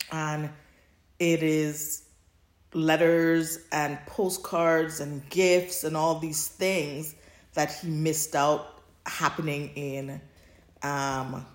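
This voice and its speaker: Low-pitched woman chatting in an echoey room. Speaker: podcast co-host. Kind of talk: casual chat, recapping fan fiction.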